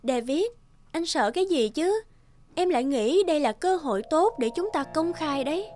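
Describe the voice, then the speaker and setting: A woman acts a confident young film star in a cartoon. She speaks high and coaxingly, with affection for her partner, over clear music. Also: expressive, normal speed, darkish tone.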